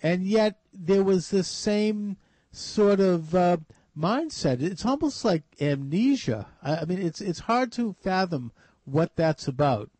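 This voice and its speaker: Halting radio talk host, dry sound. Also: male voice, high voice, lively intonation, darkish tone, no echo. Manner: halting, many restarts and fillers. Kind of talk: radio interview.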